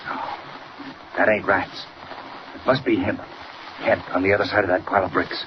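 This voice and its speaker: A dim but eager henchman with a lowish voice and rough, slangy speech, played by a man in an old radio serial. The 1940 recording is muffled and slightly noisy. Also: level tone, moderate pace, natural voice. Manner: rough, slangy working-class tough talk. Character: dim, eager male henchman and thug watchman. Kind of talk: 1940s radio acting.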